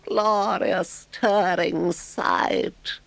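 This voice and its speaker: A man's voice: high voice, steady tone, extremely slow, gravelly, a bit bright, no echo, pitched above the performer's own voice, full character voice. A man voicing a boastful, dreamy male toad who is in love with motor cars, speaking in a rapturous, grandiose way. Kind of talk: audiobook character voice.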